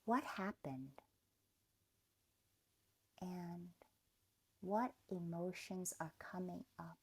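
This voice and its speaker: A woman leading a guided meditation in a gentle, soothing, deliberate manner, leaving pauses between phrases. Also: on the low side, breathy edge. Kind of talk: guided meditation, scripted narration.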